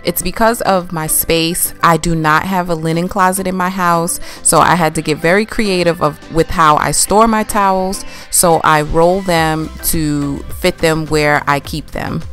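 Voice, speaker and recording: A woman's voice: on the low side, fairly even intonation, a little slow, hardly any pauses, fairly bright tone, soft background music. A young woman casually narrating her home cleaning routine, chatty and explaining each step as she goes. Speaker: cleaning vlogger. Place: home laundry room.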